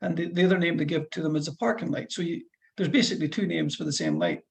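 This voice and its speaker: Brief: Fife-accented driving instructor, middle-aged man, fairly high voice with heavy rasp, talky and meandering. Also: big pitch swings, fast. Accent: Scottish, Fife. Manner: chatty, rambling explanations with restarts. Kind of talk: one-to-one lesson over a call.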